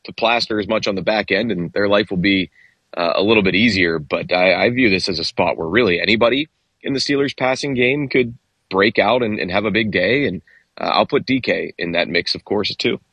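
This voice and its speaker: Deep husky-voiced man breaking down football. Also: a bit bright, no echo, lo-fi sound. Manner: measured, analytical, thinks aloud. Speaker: football analyst. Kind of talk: sports talk show discussion.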